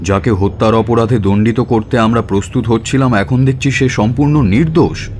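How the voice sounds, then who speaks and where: A man's voice: medium pitch, normal speed, a little mellow, slight background noise, close to an everyday voice. A man playing a stern judge in a radio drama, speaking formally and with authority. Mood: shocked, indignant. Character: stern judge, shocked and indignant at police error.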